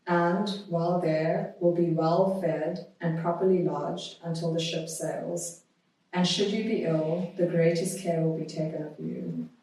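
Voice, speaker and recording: A woman's voice heard in a strongly reverberant room, some distance from the microphone. She has a soft, mellow tone and speaks as a podcast guest, measured and deliberate, as in conversation or reading aloud. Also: on the low side. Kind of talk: conversational interview, reading a historical notice aloud.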